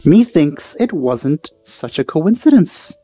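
A man's voice: a little high, very animated, slow, dark tone. A man doing casual, wry, sceptical commentary as he plays through a video game. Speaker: let's play host. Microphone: close dry mic. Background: faint game music.

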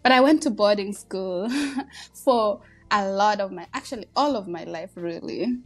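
Kenyan woman, 30s, podcast guest, casual storytelling, fillers, medium pitch. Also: measured pace, faint music behind. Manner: warm, humble, chatty with frequent fillers and laughs.

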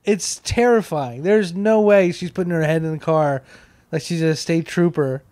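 A man's voice: on the high side, moderate pace, a little mellow, dry recording. A man in his thirties bantering casually as a podcast co-host, teasing and interjecting, speaking into a close handheld mic. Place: small indoor podcast room on couches. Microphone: handheld microphone held close.

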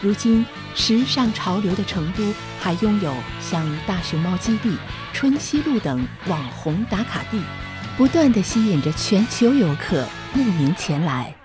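Woman doing polished promo feature narration, lively intonation, music under it. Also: medium pitch, normal speed. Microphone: studio voice-over.

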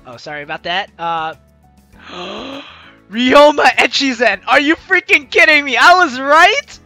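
Bright, animated gaming commentator. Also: high voice, measured pace. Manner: animated, exclaiming, giggly. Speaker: gaming video host. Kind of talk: let's play commentary, reading visual novel lines aloud. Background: game music.

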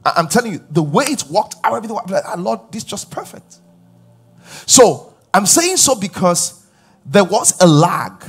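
Pastor, man in his forties, husky, pitch leaps around while preaching on a big stage, faint music under. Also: moderate pace. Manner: animated preaching, rising loud on key points, asking the crowd questions. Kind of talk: sermon. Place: large church stage with live audience.

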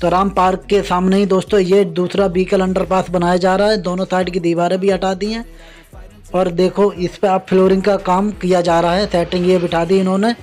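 High-voiced man narrating a construction tour. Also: flat delivery, brisk, a little mellow, faint music behind. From India. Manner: repeatedly points things out to viewers, addressing them as friends. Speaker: vlogger. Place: outdoors at a construction site beside a highway.

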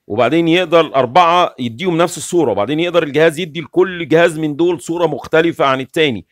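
A middle-aged man with an Egyptian Arabic accent speaks quickly and insistently, as if walking a listener through a plan during a home livestream. His voice sits a touch high for a man, and it comes across dry and close, with no room sound at all. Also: dry recording. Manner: animated, gestures constantly, explains insistently. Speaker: livestream host. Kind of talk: casual livestream talk. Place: small room with curtains, indoors.

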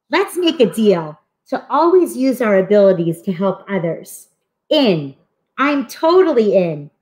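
A woman voicing friendly, eager schoolchildren from a comic book in an expressive, earnest read-aloud. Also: mid-range voice. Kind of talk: animated storybook read-aloud.

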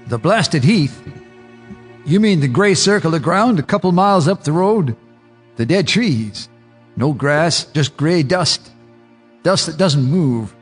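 An actor playing an old rural farmer, a wary, gruff storyteller with a raspy, hesitant drawl. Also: male voice, on the high side. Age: older. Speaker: witness telling the story. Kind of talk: radio drama acting.

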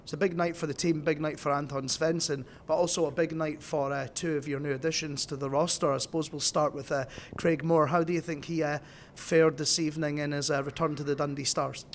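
A man's voice: fairly high pitch, level tone, fairly quick, slight background noise. A man interviewing a coach after a game for club TV, asking conversational, leading questions.

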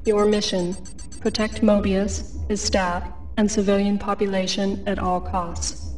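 A woman voicing a calm, impersonal military computer announcer, measured and formal, in a video game. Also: mid-range voice, recorded from a distance, soft background music, natural voice. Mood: calm, official.

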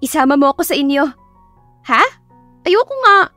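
A woman's voice: high-pitched, expressive, normal speed, sharp tone, no room sound, faint music behind, voice pushed up. A woman voicing a sassy, blunt young best friend who teases and scolds in an exclamatory way in an audio story. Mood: alarmed, scolding.

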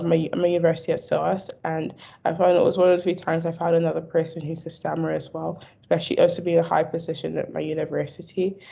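Gravelly low-voiced young woman who stammers. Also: dark tone. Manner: stammers, repeats words and restarts. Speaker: stammering awareness advocate. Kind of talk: video call interview. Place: indoor room. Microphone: video call. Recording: dull lo-fi video call audio.

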